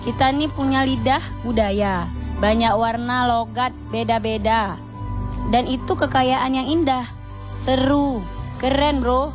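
A woman's voice: fairly high pitch, fairly even intonation, a little slow, over music, natural voice. A woman with a Batak accent playing an outspoken young college student. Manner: outspoken, emphatic.

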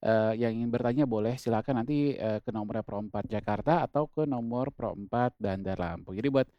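A man's voice: medium pitch, brisk, no echo, dull audio. A man hosting a radio talk show, casually announcing a music break and inviting listeners to call in. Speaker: radio host.

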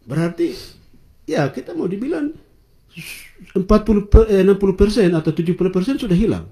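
Lively, fast man, dark tone. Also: sits high. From Wamena, Papua, Indonesia. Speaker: guest. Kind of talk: conversational interview.

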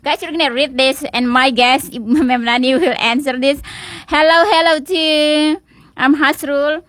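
A high-voiced woman, hosting radio and teasing her guest in a playful way. The recording is dry and close. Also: steady tone, unhurried, a bit bright, no echo. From Indonesia. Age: 40s. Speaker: radio host. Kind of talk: casual radio chat.

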